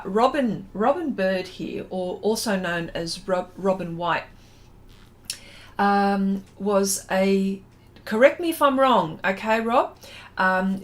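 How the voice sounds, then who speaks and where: A middle-aged Australian woman chatting casually on a livestream, rambling and trailing off as she reads out and answers comments. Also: medium pitch. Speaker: livestream host, artist. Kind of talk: casual livestream chat, reading out viewer comments.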